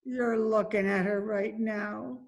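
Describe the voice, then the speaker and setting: A woman's voice: mid-range voice, fairly even intonation, takes it slowly. A woman playing a faded, despairing former Broadway dancer and mother from Spain in an amateur radio drama, speaking with bitter drama. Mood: confiding, subdued. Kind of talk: amateur old-time radio drama acting. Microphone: video call recording.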